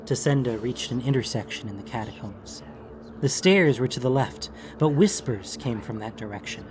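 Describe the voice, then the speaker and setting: A man giving measured, theatrical narration of a fantasy audiobook. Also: mid-range voice, noisy background.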